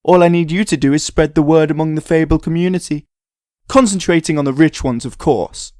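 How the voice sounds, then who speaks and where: An actor playing a vain, scheming prince in a comic dub, suave and self-satisfied. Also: male voice, fairly high pitch, fairly quick, a little mellow, no room sound, clean studio sound, close to an everyday voice. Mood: scheming, eager. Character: vain, smooth-talking, scheming broke prince.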